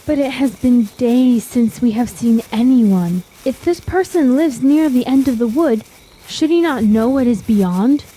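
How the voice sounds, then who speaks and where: A woman's voice: a little high, animated, a little slow, mellow, breathy edge, rough recording. A woman playing a naive, yearning young traveler in a fantasy audio drama, speaking in a wide-eyed, wistful, formal way. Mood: weary, questioning.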